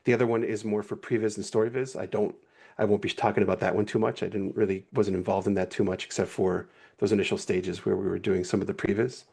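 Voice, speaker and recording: Gravelly low-voiced man, relaxed and rambling. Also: quick pace. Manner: relaxed, rambling, self-correcting. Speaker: guest animator. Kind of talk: casual livestream walkthrough.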